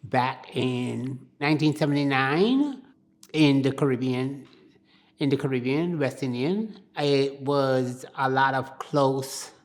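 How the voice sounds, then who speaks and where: A woman's voice: a bass voice, slow. An older woman from Barbados, telling her life story in an oral history interview in a plain-spoken, reflective way.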